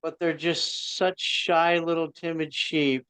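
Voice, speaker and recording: Middle-aged male guest, casual rambling talker, smooth tone, dry close sound. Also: on the high side, little pitch movement, slow pace, fairly bright tone, no room sound. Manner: rambling, self-interrupting storyteller. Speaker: indie author guest. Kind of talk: casual podcast chat.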